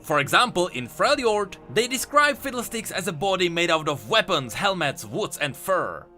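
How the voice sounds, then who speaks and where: A man's voice: high voice, sing-song, moderate pace, pushed and bright, faint music behind. A man hosting a scripted explainer, narrating lore in an emphatic, storytelling way. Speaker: video host and lore commentator.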